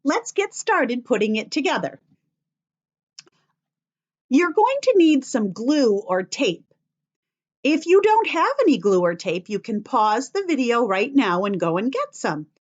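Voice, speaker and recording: Children's story-time teacher, female. Lively, high-pitched, slow step-by-step delivery with encouraging questions. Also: muffled recording. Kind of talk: instructional talk to young children.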